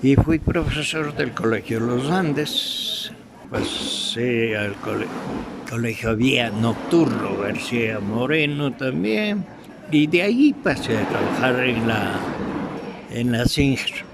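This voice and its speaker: An older man with an Ecuadorian Spanish accent, reminiscing in an interview in a halting, deliberate way with pauses and repetitions. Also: medium pitch, expressive, creaky, heavy background noise. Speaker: interviewee, veteran radio drama actor.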